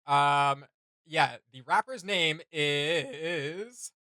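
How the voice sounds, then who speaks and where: A man's voice: on the high side, extremely slow, sharp tone, dry recording. A man hosting a reaction video in casual commentary, often hesitating as he searches for words.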